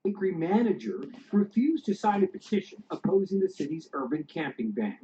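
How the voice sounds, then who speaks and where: Brief: male TV reporter, mellow, a bit high, measured read, dull clip sound. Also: very animated, slight background noise. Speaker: TV news reporter. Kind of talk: news report.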